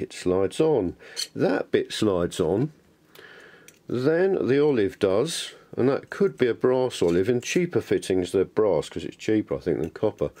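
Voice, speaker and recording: A man's voice: lowish voice, dark tone. A man presenting an informal hands-on tutorial, thinking aloud in a chatty, enthusiastic way.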